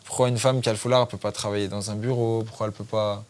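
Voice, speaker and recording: A man's voice: on the low side, flat delivery, fast. A man, a guest fighter, talking casually on a studio podcast in a loose, informal way, full of tag phrases. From France.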